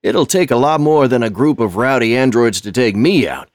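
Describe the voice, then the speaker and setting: A man voicing an older male janitor, gruff and shaken, with folksy slang. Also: medium pitch, animated, normal speed, dry recording, studio quality, pitched above the performer's own voice, natural voice. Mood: rattled. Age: 60s.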